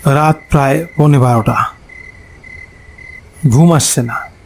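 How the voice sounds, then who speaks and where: A man narrating a scripted horror story in a dramatic, suspenseful way, pausing between short phrases. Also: fairly high pitch, a little hiss or hum. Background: background music.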